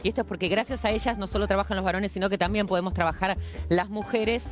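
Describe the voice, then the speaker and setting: A woman's voice: mid-range voice, fairly even intonation, fast, soft background music. A woman with an Argentine accent giving conversational radio commentary.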